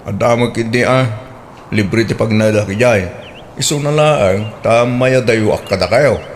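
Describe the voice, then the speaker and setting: A man voicing an earnest young adult son in a radio drama, explaining things calmly. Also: fairly low pitch, unhurried, a little hiss or hum, lightly acted. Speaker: grown son. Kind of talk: Ilocano radio drama acting.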